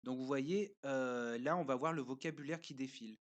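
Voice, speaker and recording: Guy doing a chill review, mid pitch, lots of euh and pauses, walks you through it step by step. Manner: hesitant, pauses often with fillers; explains step by step while showing a screen. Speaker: parent reviewing a learning platform. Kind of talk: casual spoken review.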